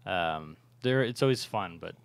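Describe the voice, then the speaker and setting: A man in his thirties co-hosts a podcast, trading jokes and stories in a relaxed way. His voice is low with a strong gravelly fry, picked up close on a studio boom mic. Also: unhurried, no room sound. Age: 30s. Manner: joking, laughing, relaxed storytelling. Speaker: podcast co-host, brewery owner. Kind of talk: casual chat. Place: small studio room. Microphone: large studio mic on boom arm.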